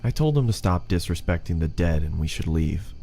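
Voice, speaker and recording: A man's voice: low-pitched, animated, a little fast, warm tone, soft background music. A man reads a scripted first-person horror story as its narrator.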